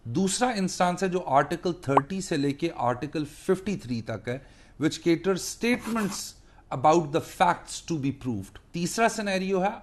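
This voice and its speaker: A man's voice: on the high side, a little hiss or hum. A man with a Pakistani accent giving an explanatory legal lecture as a mentor, emphatic, repeating key phrases and mixing Urdu with English. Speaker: law teacher and mentor.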